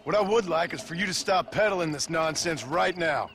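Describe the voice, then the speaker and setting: An actor playing a stern, terse Western gunslinger in a game. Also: male voice, a little high, animated, normal speed, fairly bright tone, faint music behind. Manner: terse, blunt, dry. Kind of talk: video game cutscene voice acting. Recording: noisy outdoor game audio.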